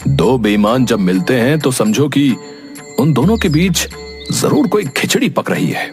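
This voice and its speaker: A man playing a kindly, concerned village priest with a measured manner in an animated moral story. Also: mid-range voice, soft background music, lightly acted. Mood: reflective.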